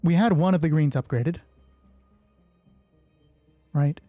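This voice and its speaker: A game master running a solo tabletop roleplay, thinking aloud and narrating in a sweary, excitable way. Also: mid-range voice, takes it slowly, dry recording, faint music behind.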